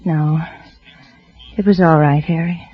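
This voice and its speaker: A devoted wife in an old radio thriller, speaking in a low, husky, gentle and reassuring tone. The sound is thin and tinny, with faint music behind her. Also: fairly even intonation, measured pace. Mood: gentle, soothing. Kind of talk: 1940s radio acting.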